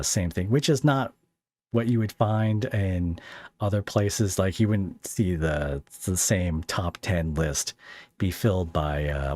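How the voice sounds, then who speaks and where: A man's voice: sits low, darkish tone, no room sound. A man hosting a podcast in a casual interview style, asking questions in a chatty, rambling way with jokey asides.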